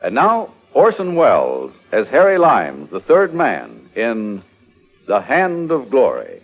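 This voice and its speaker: A man's voice: mid-range voice, huge pitch swings, slow pace. A man announcing an old radio drama series in a dramatic style. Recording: dull vintage radio recording. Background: loud music.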